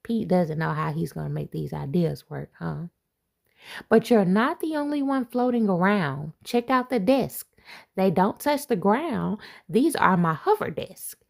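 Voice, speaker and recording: A woman, an assistant principal, reading a rhyming picture book aloud to children, with playful asides and expressive delivery. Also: medium pitch, gravelly.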